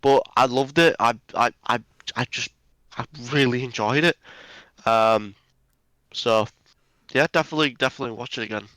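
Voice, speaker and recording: A man's voice: medium pitch, moderate pace, long pauses, a bit bright, no room sound. A young man co-hosting a movie review show, chatting casually with stammering restarts. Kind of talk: casual chat.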